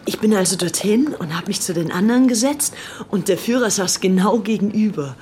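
An actress playing an infatuated, excitable young English society woman in a radio play, confiding breathlessly as if to a diary. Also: mid-range voice, fairly quick, slight background noise, natural voice. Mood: excited, gushing. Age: young adult.

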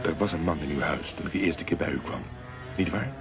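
An actor playing a shrewd, dry, sardonic investigator in a Dutch radio drama, asking insistent questions. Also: male voice, extremely low pitch, a little fast. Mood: dry, pressing. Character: shrewd, sardonic male investigator, probing and unafraid. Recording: phone-like old radio recording, faint noise.

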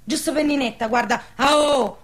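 A woman's voice: high voice, normal speed, natural voice. An actress playing a bossy, proud countrywoman who owns land, brusque and emotional, in a rural central Italian dialect drama. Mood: haughty. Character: bossy, proud landowning countrywoman, quick to bicker.

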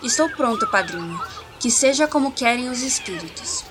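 An earnest, curious ten-year-old boy voiced by a woman with a Brazilian accent. The voice is high and animated, eager and respectful in an audio storybook. Ambient noise is clearly heard behind it. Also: moderate pace. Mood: resolved. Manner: eager, direct questioning. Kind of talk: scripted audio story acting.

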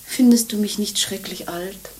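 An actress playing a pious, world-weary nun in a radio play, solemn and dramatic with an indignant, scornful edge. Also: female voice, medium pitch, normal speed, darkish tone, natural voice, rough recording. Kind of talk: German radio play acting.

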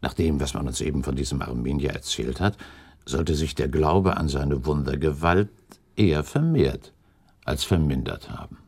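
A man playing an earnest, puzzled gentleman companion to a prince in a German radio play, speaking formally and respectfully. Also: rumbling low, normal speed, slightly rough, full character voice. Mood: doubtful, pondering.

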